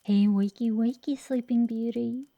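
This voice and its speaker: A woman playing a brash but secretly tender dragon girl sorceress in an intimate ASMR roleplay, soft and sometimes whispering, teasing and affectionate, close to the mic. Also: fairly high pitch, fairly even intonation, a little slow, no room sound. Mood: playful, gentle. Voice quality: soft, breathy whisper at times.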